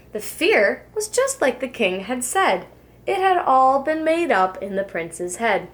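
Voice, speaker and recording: A woman with a fairly high voice reads a rhyming storybook to young children. Her delivery is very animated, swinging widely in pitch, and she phrases each line with deliberate, expressive care. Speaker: preschool teacher reading a storybook aloud. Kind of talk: children's storybook read-aloud in rhyme.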